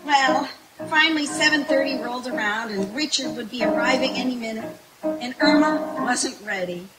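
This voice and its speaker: A woman's voice: a little high, normal speed, over loud music, lightly acted, rough recording. A woman playing a sardonic, exasperated roommate in an old-time radio comedy performed live. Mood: wry, resigned. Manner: dry, wry, theatrically dismayed. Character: sardonic, exasperated roommate, working girl and narrator. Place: outdoor woodland stage.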